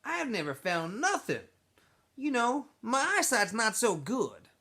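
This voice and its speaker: A man's voice: extremely high pitch, wildly swooping pitch, unhurried, sharp tone, pitched above the performer's own voice, heavily acted. A man voicing a pushy teenage boy who leads his group, coaxing and insisting in a storybook read-aloud. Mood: wheedling, self-justifying. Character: pushy teenage boy, small self-assured group leader, wheedling.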